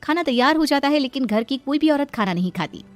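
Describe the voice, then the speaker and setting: A woman's voice: high-pitched, expressive, fast. A woman reading out a scripted moral story as its narrator.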